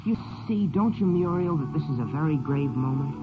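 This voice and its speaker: A man's voice: a little high, lively intonation, fairly quick, close to an everyday voice. Man playing an earnest, pompous teenage boy, swooping delivery. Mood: solemn. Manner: grandiose, self-serious, declaiming. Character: earnest, pompous sixteen-year-old boy in puppy love. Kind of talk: old-time radio drama acting. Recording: muffled vintage radio broadcast with music.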